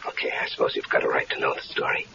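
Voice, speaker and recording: A wry, deep-voiced stranger. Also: male voice, level tone, racing, creaky, noisy background, exaggerated voice. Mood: storytelling. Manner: wry, casual storyteller. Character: easygoing stranger caught up in a plot. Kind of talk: 1970s radio drama acting. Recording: dull lo-fi 1970s radio recording.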